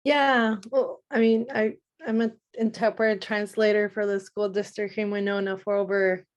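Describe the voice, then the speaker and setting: A woman from Mexico talks casually in an online meeting as an interpreter and translator. Her voice is medium in pitch and her delivery is easygoing and informal. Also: level tone, moderate pace.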